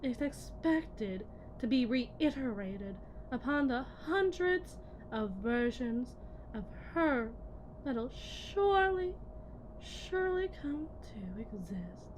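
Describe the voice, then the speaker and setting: A woman playing a cold, condescending lead technician in a scripted ASMR roleplay, speaking in a measured way that drifts into whispers. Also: on the high side, expressive, a little hiss or hum, poor audio. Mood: pointed, intense. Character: cold, condescending female lead technician at shady tech company.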